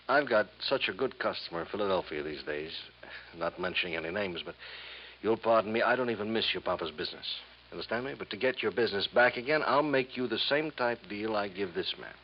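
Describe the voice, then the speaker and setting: On a hissy 1950s radio recording, an actor plays a middle-aged man who runs a crooked factory. His voice sits fairly low. He wheedles like a folksy salesman, chummy and sly, tacking little questions onto his pitch. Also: lo-fi sound, close to an everyday voice. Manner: folksy salesman patter, tag questions, inverted phrasing. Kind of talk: 1950s radio drama acting.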